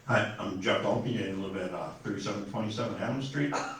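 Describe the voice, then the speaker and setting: An older man from Wisconsin gives a folksy, rambling candidate introduction, full of fillers and restarts. His fairly low voice comes from a distant mic in a very echoey forum room. A faint noise floor sits underneath. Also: brisk, a bit bright. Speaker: city council candidate.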